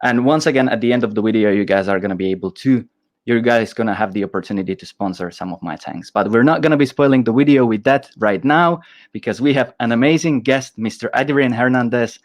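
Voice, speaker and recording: A man's voice: medium pitch, quick pace. A man hosting a casual livestream, enthusiastic and restarting his sentences often. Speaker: host.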